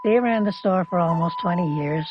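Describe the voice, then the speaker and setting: A man's voice: sits high, fairly quick, lo-fi sound, noisy background. An older man from Canada recalling his childhood in an informal, plain-spoken, matter-of-fact way. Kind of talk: informal reminiscence.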